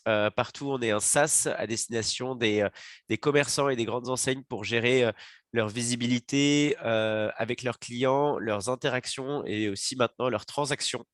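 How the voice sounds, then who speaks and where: A French man with a head cold speaking on a panel, his voice close and dry with no room around it. He introduces himself hesitantly, at a medium pitch, his delivery a little stuffy. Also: normal speed, a bit bright, no room sound. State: has a cold. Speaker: panel guest, chief people officer.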